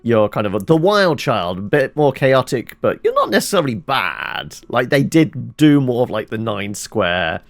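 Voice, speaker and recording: A man's voice: medium pitch, sing-song, normal speed, gravelly, few pauses, no echo. A man giving casual let's-play commentary, muttering his thoughts aloud as he plays and swearing now and then. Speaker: gaming channel host.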